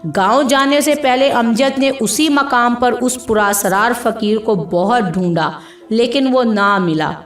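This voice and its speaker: Woman storyteller, higher voice, unhurried measured narration, dramatic delivery, reverberant sound, music underneath. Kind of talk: scripted narration of an Urdu moral story. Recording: echoey voice with music behind.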